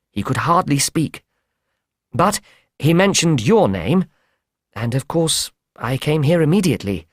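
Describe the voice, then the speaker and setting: A man's voice: mid-range voice, dry recording, clean studio sound. A man voicing an earnest male doctor in an audiobook, speaking formally and with emphasis. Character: earnest male doctor recounting a troubling case. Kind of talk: audiobook character voice.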